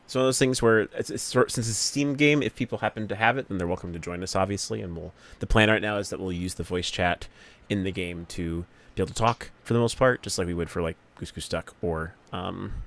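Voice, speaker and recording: A man with a fairly low, gravelly voice hosts a casual livestream from his home computer mic. He is chatty, greets viewers by name and thinks aloud as he fiddles with settings. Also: fast talker, a bit bright. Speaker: streamer host.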